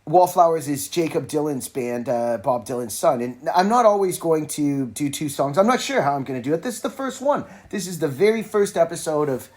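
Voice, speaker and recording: A man's voice: on the high side, lively intonation, fairly quick, hardly any pauses, darkish tone. A man hosting a podcast alone, rambling casually about music with wry, informal asides and frequently interrupting himself. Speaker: podcast host. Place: informal solo recording with a phone at hand.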